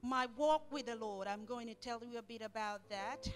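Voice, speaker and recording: A woman's voice: a little high, normal speed. A woman with a Cameroonian accent preaching as a guest, speaking in short phrases that leave room for an interpreter. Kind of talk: sermon, testimony delivered in short phrases for interpretation.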